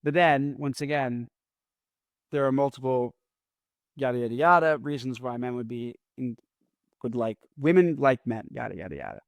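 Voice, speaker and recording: A man's voice: mid-range voice, no room sound. Mumbling man with lingering S sounds. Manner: mumbles words, slightly drawn-out S sounds; rambling, self-deprecating. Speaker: podcast host. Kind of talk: casual solo monologue.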